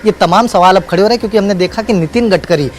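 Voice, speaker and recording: A man's voice: high voice, fast talker, slight background noise. A man reporting live as a news correspondent, speaking unscripted in emphatic, repetitive phrases with hesitant fillers.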